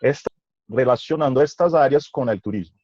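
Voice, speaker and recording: A man's voice: medium pitch, brisk, a little mellow, dry recording. A man from Brazil with a Portuguese accent, speaking hesitantly as a guest lecturer over a video call on a headset microphone. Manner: hesitant, stumbling over words. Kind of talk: video conference talk. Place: room in a house. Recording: muffled, choppy internet call audio.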